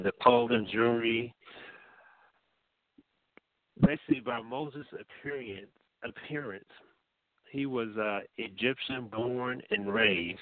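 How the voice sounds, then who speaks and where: A man's voice: mid-range voice, no room sound. A man preaching an informal sermon, talking conversationally with frequent fillers and restarts. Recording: dry, tinny phone-like sound.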